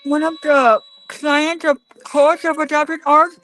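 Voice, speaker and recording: Female volunteer, notably high voice, halting and hesitant as she introduces herself. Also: level tone, fairly bright tone, faint music behind. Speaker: event volunteer.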